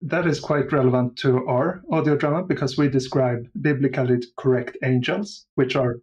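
A man speaking as an interview guest, explaining things in a measured, conversational way. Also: mid-range voice, steady tone, a little mellow, lo-fi sound. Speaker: audio drama creator, podcast guest. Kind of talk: casual interview chat.

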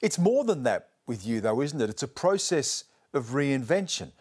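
A middle-aged man anchors the program from a studio, reading his introductions in a voice of average pitch. He speaks with serious authority. The delivery stays composed and scripted. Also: very animated, normal speed, darkish tone, breathy edge. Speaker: news anchor and interviewer.

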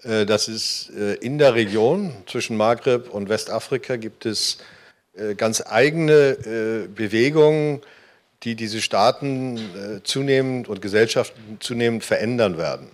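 A man's voice: lowish voice, measured pace. Hesitant man speaking on a panel. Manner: many hesitation fillers, earnest. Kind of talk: panel discussion. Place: conference hall.

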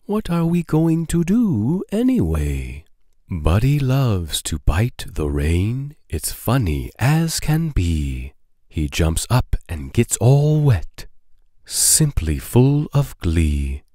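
Male narrator for children's rhymes. Playful and expressive, big pitch swings, measured pace, very dry sound. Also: dry recording. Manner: playful, deliberate, sing-song delivery of rhyming verse. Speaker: children's book read-aloud narrator. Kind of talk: expressive children's poetry storybook reading.

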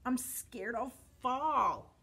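A woman's voice: a little high, animated, drawn-out words, pushed and bright, breathy edge, voice pushed up, exaggerated voice. A woman voicing a frightened young male dinosaur in a storybook, pleading and stammering. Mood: tearful, scared.

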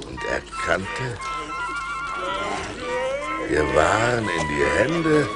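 An actor playing an adventurous seafarer recounting his voyages in a theatrical, precise German audio-play style, on a vintage vinyl record. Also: mid-range voice. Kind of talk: dramatic scripted storytelling, audio play.

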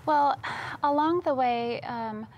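A woman's voice: high-pitched, takes it slowly, lo-fi sound, a little hiss or hum. A woman in her thirties from Costa Rica, talking conversationally and earnestly as a studio interview guest. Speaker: interview guest, missions worker. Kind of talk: conversational testimony. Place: TV studio, seated at a table.

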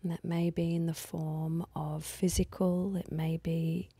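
A woman leading a guided meditation in a soft, husky, breathy voice, speaking calmly and gently with unhurried pauses. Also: low-pitched, no echo. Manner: calm, gentle, unhurried instructing with long pauses.

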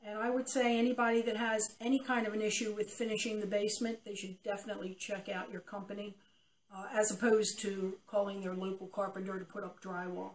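A woman's voice: medium pitch, flat delivery, fairly quick, distant mic, poor audio. An older woman giving an unscripted customer testimonial in an interview, recorded in a basement a few feet from the camera. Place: cluttered unfinished basement.

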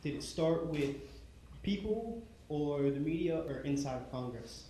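A man's voice: on the high side, animated, measured pace, mellow. A young man, a student in the audience, asking a question at a public talk. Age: young adult. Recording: echoey, noisy hall from the audience.

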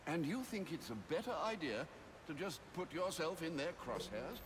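A man's voice: fairly high pitch, sing-song, moderate pace, noisy background. A man playing an older, loyal butler in a video game, formal and wry with a worried edge. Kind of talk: video game cutscene acting. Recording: music behind the voice.

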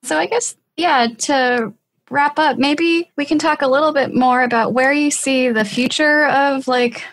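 A woman's voice: high-pitched, steady tone, measured pace, fairly bright tone. A woman interviewer talking casually, with loose, hesitant phrasing. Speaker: podcast interviewer, economist.

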